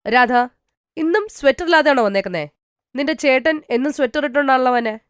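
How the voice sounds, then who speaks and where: A woman puts on a concerned schoolteacher for a cartoon story. She speaks in a raised pitch, questioning and gently chiding. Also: lively intonation, quick pace, clear, resonant tone, dry recording, clean studio sound. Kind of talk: dramatised cartoon story dialogue.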